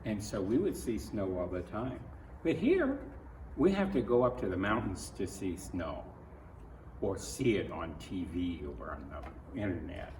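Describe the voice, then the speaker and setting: Slow, gentle American man in echoey room. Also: on the low side, big pitch swings. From Ohio, United States. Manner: gentle, earnest, halting with pauses between phrases. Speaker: picture-book reader. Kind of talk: read-aloud to children with improvised commentary. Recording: roomy echo, distant mic, faint noise.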